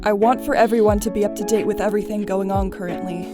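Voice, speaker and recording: A woman plays a polite, composed young leader with a medium-pitched voice. She is businesslike, in an audio drama with clear music behind her. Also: steady tone, quick pace, lightly acted. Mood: in charge. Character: composed, polite young female organizer of the group. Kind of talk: fan audio drama acting. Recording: music under the voice.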